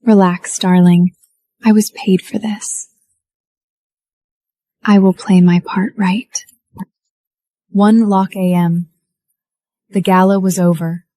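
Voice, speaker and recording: A woman's voice: medium pitch, level tone, takes it slowly, pauses often, dark tone, no echo, lightly acted. A woman acting a cool, defiant heiress who narrates her own revenge story in a scripted first-person drama. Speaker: narrator and lead of an audio drama.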